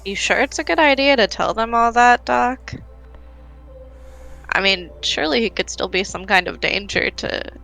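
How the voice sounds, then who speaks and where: Woman playing a blunt alien guy, bright-voiced, music behind. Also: on the high side, normal speed, gravelly, poor audio. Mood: wary, impatient. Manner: blunt, casual, guarded. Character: gruff, busy, foul-mouthed male furry alien station worker. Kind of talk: visual novel character reading. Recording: music under the voice.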